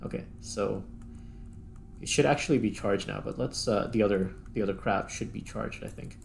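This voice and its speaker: A man's voice: lowish voice, normal speed, creaky, slight background noise. A man giving casual, unscripted gameplay commentary, muttering step by step as he thinks aloud. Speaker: host playing and narrating a video game.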